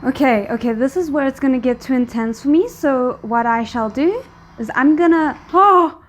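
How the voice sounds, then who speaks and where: A woman's voice: high voice, lively intonation, normal speed. A woman giving casual spoken reaction commentary as a host, squeamish and dryly sarcastic. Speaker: host of a reaction video channel.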